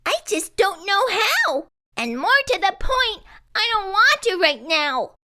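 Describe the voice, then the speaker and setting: A woman's voice: very high-pitched, big pitch swings, takes it slowly, bright, forceful tone, pitched above the performer's own voice, full character voice. A woman voicing a self-doubting young schoolboy rock in a children's picture book, whiny and protesting. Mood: flustered, reluctant.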